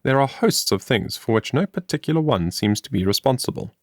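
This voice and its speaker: Male narrator as industrious young storybook woman, cheerful, earnest, animated pitch, dry sound. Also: brisk, no room sound, lightly acted. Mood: earnest, musing. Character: cheerful, industrious young woman explaining her homemade invention.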